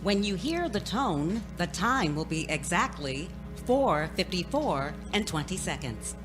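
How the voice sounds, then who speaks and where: A woman's voice: lowish voice, very animated, a little slow, loud music behind the voice. A woman acting a formal, impersonal telephone time-announcement service in a radio play.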